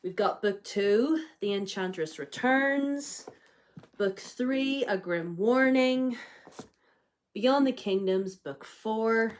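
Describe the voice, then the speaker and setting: A woman's voice: on the high side, takes it slowly, slight background noise. A young woman with a cold chatting casually about books she bought, with plenty of ums and likes. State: has a cold, may cough. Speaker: book vlogger. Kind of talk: casual chat.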